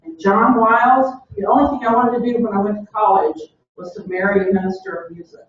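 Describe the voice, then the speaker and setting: A woman's voice: fairly high pitch, a little fast. A woman from Oklahoma presents to a university search committee. She tells a personal story and asks rhetorical questions. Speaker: university diversity administrator. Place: meeting room. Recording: distant, echoey, dull room recording.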